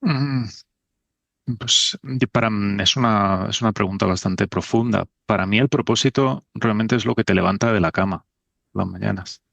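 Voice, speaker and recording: Gravelly middle-aged man. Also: fairly low pitch, normal speed, a bit bright, no room sound, lo-fi sound. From Spain. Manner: thoughtful, self-deprecating, with frequent restarts. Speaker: podcast guest. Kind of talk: casual conversation.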